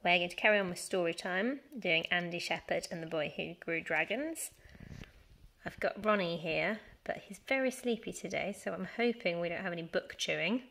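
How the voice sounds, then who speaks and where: A woman reading a children's storybook aloud as a teacher, with animated, dramatic emphasis. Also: lowish voice, normal speed, creaky. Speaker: primary school teacher reading story time.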